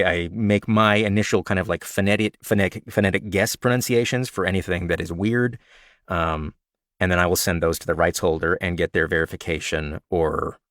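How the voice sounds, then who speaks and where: Deep low guy with a bit of rasp, super dry sound, easygoing nerdy chat. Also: no echo. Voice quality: slightly raspy. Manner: relaxed, chatty, self-described nerd with frequent fillers. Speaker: audiobook narrator sharing tips. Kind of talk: casual Q&A livestream talk.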